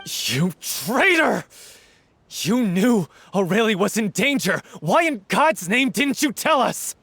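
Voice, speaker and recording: An actor playing a lovesick, hot-tempered male troupe actor in a video game, earnest and quick to shout. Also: sits high, sing-song, a little hiss or hum, full character voice. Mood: furious.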